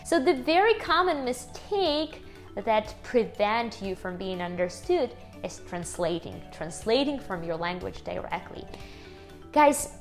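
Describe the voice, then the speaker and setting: A woman's voice: mid-range voice, big pitch swings, unhurried, faint music behind. A woman with a strong Ukrainian accent, chatting casually to the camera in her home kitchen, upbeat and laughing often as she talks to her viewers. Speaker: YouTuber.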